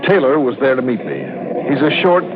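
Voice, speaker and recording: An actor playing a cool, wry American insurance investigator, narrating and talking in a 1950s radio drama. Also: male voice, mid-range voice, wildly swooping pitch, fairly quick, music in the background. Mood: brisk, wry. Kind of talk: 1950s radio drama, hard-boiled first-person narration. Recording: narrow-band old radio recording.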